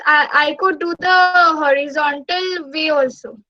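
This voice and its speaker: A teenage girl walks her online class through a maths method step by step. Her voice is high and bright, close and dry. The sound is dull and lo-fi. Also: fairly even intonation, a little slow, no echo. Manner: careful, step-by-step explanation. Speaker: student.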